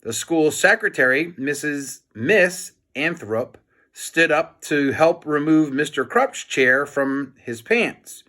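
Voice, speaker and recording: Male children's storybook reader: expressive, unhurried, with a lot of swing in the pitch. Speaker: teacher reading a children's book aloud.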